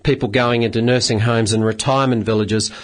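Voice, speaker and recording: A man's voice: medium pitch, fairly even intonation. Polite Australian radio host, man. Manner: measured, polite, with many filler sounds. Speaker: radio phone-in host, solicitor. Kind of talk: radio phone-in advice. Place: radio studio.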